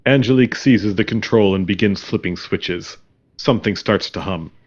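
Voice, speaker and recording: Deep, deadpan male narrator, slightly dull audio. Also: normal speed. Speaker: narrator reading stage directions.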